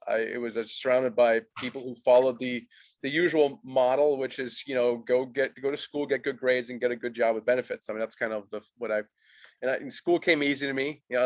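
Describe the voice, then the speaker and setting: A man in his forties who grew up in Germany tells his backstory in a brisk, matter-of-fact way, his first language being German. His mid-pitched voice sounds close and dry, with no room around it. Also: steady tone, no echo. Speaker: guest investor.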